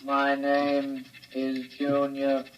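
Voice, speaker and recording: A man playing a robot with a six-year-old's mind in an old radio drama, with a grinding mechanical monotone, speaking in literal, obedient, menacing childlike phrases. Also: medium pitch. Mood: obedient, blank. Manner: slow, flat, childlike, literal. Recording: muffled, hissy vintage radio recording.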